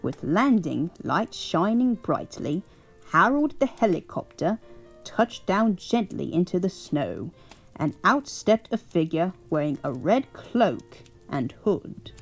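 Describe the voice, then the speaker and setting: A doting old English grandmother, put on by a woman, reads a storybook at bedtime in a slow, husky voice. Her pitch rises and falls warmly as she chuckles and fusses over the child. Soft music plays faintly underneath. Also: big pitch swings, full character voice. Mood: cozy.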